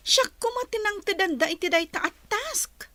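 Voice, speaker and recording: A woman with an Ilocano accent playing a catty, excitable office worker in a radio drama. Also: extremely high pitch, moderate pace, no room sound, exaggerated voice.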